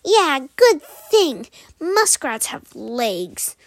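A woman voicing a small, rude and boastful male muskrat with a squeaky, taunting voice. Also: big pitch swings, drawn-out words, dry recording, clean studio sound, full character voice.

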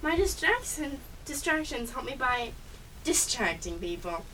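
A woman's voice: sits high, very animated, unhurried, sharp tone, full character voice. A woman playing a bossy, sarcastic female cat with world-domination ambitions in an amateur audio comedy. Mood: smug. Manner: sarcastic, insulting, superior. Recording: distant mic, faint background hiss.

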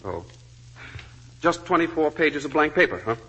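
An actor playing a charming but evasive, volatile young gambler husband in 1940s radio drama, glib and insistent, on a dull old recording. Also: male voice, a little high, big pitch swings, normal speed, bright, forceful tone, husky, exaggerated voice. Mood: breezy, mocking.

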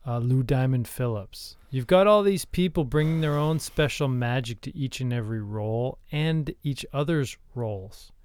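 A man's voice: medium pitch, moderate pace, few pauses, darkish tone, no room sound. A man co-hosting a podcast in a casual, conversational style. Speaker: podcast co-host. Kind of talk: casual podcast talk about screenwriting.

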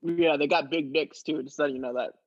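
A woman's voice: sits low, steady tone, fairly quick, muffled recording. A woman playing a crazy, casually crude former prostitute in an asylum, in an improvised comedy scene. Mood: matter-of-fact.

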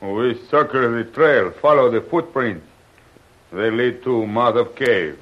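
An actor playing a simple male hunter who speaks in halting broken English, in a dull old radio recording. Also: mid-range voice. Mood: puzzled.

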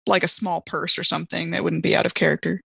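A woman's voice: on the low side, flat delivery, quick pace, gravelly, fairly bright tone, husky, no room sound. A woman chatting casually as a player in a tabletop game. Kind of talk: casual chat.

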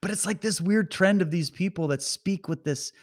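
A man hosting a political commentary stream, talking straight to his viewers with animated sarcasm. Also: sits high, normal speed. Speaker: commentary host.

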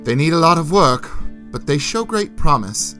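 A man's voice: fairly high pitch, sing-song, moderate pace, bright, forceful tone, pitched above the performer's own voice. A man voicing a jovial, wry knight and master at arms with formal, archaic phrasing in a fantasy audiobook, over music. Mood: approving, wry.

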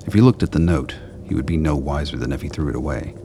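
An exceptionally deep-voiced man narrates a radio play. His voice crackles with gravelly fry, and noticeable noise sits behind it. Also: fast, mellow. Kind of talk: scripted radio drama narration. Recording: clear background noise.

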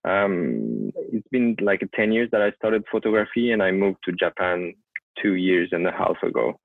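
A man's voice: low voice, animated, moderate pace, gravelly, no room sound. French-accented man on a tinny call line. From France. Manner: hesitant, repeats words while searching for English. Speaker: guest photographer. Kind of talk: casual interview. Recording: tinny call audio, dry.

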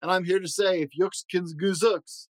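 A taunting rival soldier from a storybook voiced by a man hurls boastful threats in rhyme. The voice jumps higher when it starts up. The recording is dry. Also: steady tone, moderate pace, no room sound, natural voice.

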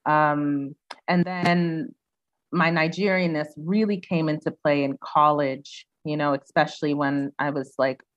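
A woman's voice: low-pitched, fairly even intonation, measured pace, dry recording, highs cut off. A young woman raised in the United States, speaking reflectively as a guest in a casual interview, with many "um"s and "you know"s.

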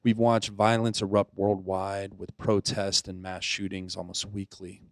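A man's voice: low voice, flat delivery, unhurried, dry recording. A man in his thirties from Florida, a youth ministry director, speaking earnestly and plainly as he introduces himself and reads his reflection. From Pensacola, Florida. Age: 30s. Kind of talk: casual chat, then reading a reflection.

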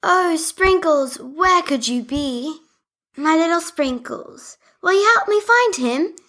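A woman voicing a sweet female toy doll looking for her lost dog, in a high, pleading voice. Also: animated, slow, fairly bright tone, heavily acted. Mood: worried, relieved.

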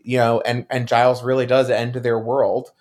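A man chatting casually as a podcast panelist, animated, joking and swearing freely. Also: medium pitch, moderate pace. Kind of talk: casual chat.

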